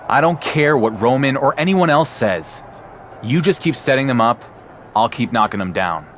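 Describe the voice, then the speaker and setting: A man's voice: medium pitch, animated, normal speed, a bit bright, a little hiss or hum, close to an everyday voice. A man playing a defiant, cocky male wrestling champion in a video game cutscene, with a dry delivery.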